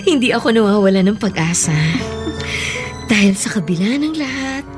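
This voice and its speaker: Brief: actress doing an outspoken big sister, mid-pitched, plain voice. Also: female voice, lively intonation, normal speed, over music, natural voice. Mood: frank. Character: frank older sister, hopeful. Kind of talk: radio drama acting.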